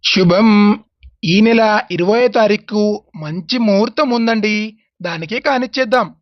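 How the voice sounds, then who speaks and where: A man playing a genial village priest who arranges marriages, speaking in a measured, familiar way in a dramatized story. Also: high-pitched, dry recording, highs cut off. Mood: businesslike. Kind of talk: dramatized moral story acting.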